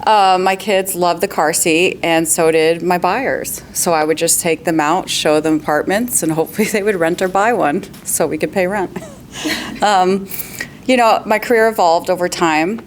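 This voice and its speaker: A woman's voice: lowish voice, moderate pace, a little hiss or hum. A woman, a real estate agent on a panel, giving upbeat, practical advice with frequent laughs and questions to the audience.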